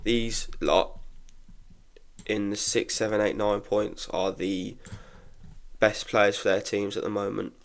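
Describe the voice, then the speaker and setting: Young man, bunged up with a cold, gravelly low voice, casual football chat, blunt opinions. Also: little pitch movement, slow pace. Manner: chatty, frank opinions with frequent restarts. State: congested from illness. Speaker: football podcaster. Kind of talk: casual solo football review.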